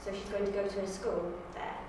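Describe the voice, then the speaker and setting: A woman's voice: mid-range voice, flat delivery, fairly quick. Woman chatting casually, bit hesitant, super echoey and far from the mic. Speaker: auditioning amateur actress. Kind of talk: casual interview chat and pitching. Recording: distant, very echoey room with background noise.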